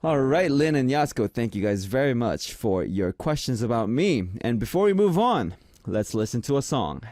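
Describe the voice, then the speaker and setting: A young man from Korea, speaking English as a second language with a deep voice, hosting a casual radio show and chatting about listener messages. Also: moderate pace, no echo, clean studio sound. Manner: relaxed, chatty, reads listener messages aloud.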